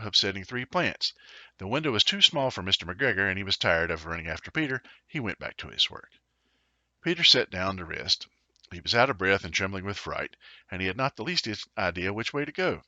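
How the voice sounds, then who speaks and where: Low, animated male storybook narrator. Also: dry recording, dull audio. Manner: dramatic, playful emphasis. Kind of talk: expressive children's storybook narration.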